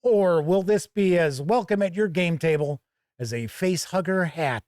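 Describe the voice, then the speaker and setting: A man hosting a gaming channel, giving a conversational review to camera in a folksy, measured way with emphatic phrasing. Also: high-pitched, darkish tone, dry recording, clean studio sound.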